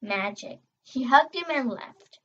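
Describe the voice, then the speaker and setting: Young girl reading a kids book out loud, really high voice, expressive, trips on words, kinda muffled lo-fi recording. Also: slow pace. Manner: expressive, emphatic on dialogue, stumbles over words. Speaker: reader. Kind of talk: reading a children's novel aloud.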